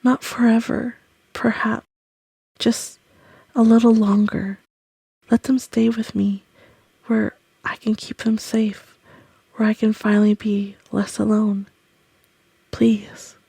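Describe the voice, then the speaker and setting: Woman as a breathy, pleading lonely ghost. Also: fairly high pitch, level tone, warm tone, dry recording, full character voice. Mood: wistful, pleading. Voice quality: breathy, partly whispered. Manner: slow, pleading. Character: lonely, gentle ghost longing for company. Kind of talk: scripted audio roleplay monologue.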